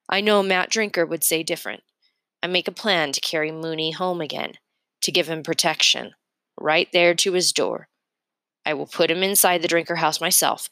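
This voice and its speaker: A woman with a fairly low voice reads a storybook aloud. She narrates in the first person with expression, as in a read-aloud for children. The sound is dry, without room echo. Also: fairly bright tone. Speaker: reader of a children's novel aloud.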